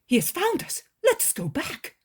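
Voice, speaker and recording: A woman voicing an excitable male fisherman who talks out of breath and with urgency. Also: very high voice, moderate pace, pitched above the performer's own voice, heavily acted. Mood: urgent. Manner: urgent, agitated.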